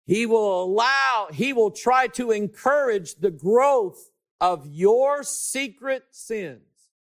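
A man preaches with a notably high voice that leaps between shouted emphasis and near-whispered asides. He stretches key words slowly to make a point. His delivery is lively and animated. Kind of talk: sermon.

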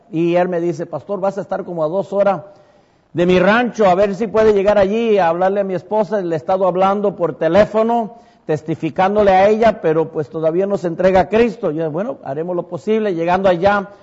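Puerto Rican pastor with Mexican-tinged Spanish. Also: male voice, high-pitched, a little mellow. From Puerto Rico. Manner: rambling, many fillers and self-corrections. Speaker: pastor and church planter. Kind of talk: testimony to a congregation. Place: church sanctuary. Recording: muffled church hall sound with long reverb.

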